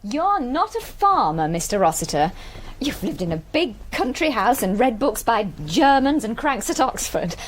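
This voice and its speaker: Brisk English farmer's wife, higher-pitched, very animated, articulate and bossy, period radio drama. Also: female voice, slight background noise. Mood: brisk, argumentative. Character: brisk, warm, strong-willed farmer's wife, socially anxious hostess.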